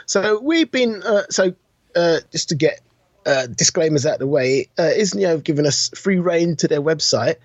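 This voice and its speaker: A man's voice: on the high side, animated, normal speed, no room sound. A man chatting casually and enthusiastically as a podcast co-host, with informal, emphatic delivery. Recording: dull, muffled lo-fi audio.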